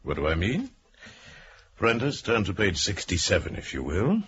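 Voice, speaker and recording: An actor playing a stern, sly middle-aged manager in a radio drama, pompous and condescending. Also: male voice, low-pitched, wildly swooping pitch, normal speed, a bit bright, breathy edge, heavily acted. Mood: stern, accusing. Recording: dull vintage radio recording.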